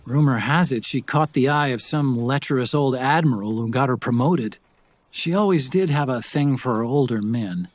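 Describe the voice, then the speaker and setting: An actor playing an earnest tech specialist in a video game, explaining things to his partner in a slightly husky voice. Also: mid-range voice, normal speed, no echo, natural voice. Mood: conversational. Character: earnest male tech-savvy support partner briefing an agent. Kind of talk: video game cutscene acting.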